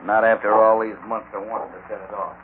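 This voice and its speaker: An actor playing a gruff outlaw henchman in an old-time radio western. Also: fairly low pitch, flat delivery, fast talker, lightly acted. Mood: gruff, grim. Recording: scratchy, tinny vintage radio broadcast.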